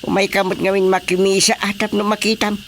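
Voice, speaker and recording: A man's voice: sits high, flat delivery, a little fast, gravelly, a bit bright, slight background noise. A man playing a chatty, practical village official in a radio drama comedy, talking emphatically. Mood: emphatic.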